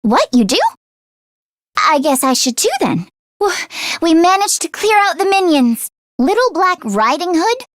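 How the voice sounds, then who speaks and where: A woman voicing an excitable, earnest teenage girl inventor who gets easily flustered, in an expressive anime-style video game performance. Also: very high-pitched, a little slow, no room sound, studio quality, full character voice. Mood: surprised, brisk. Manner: expressive, swinging from deadpan grumbling to frantic outbursts.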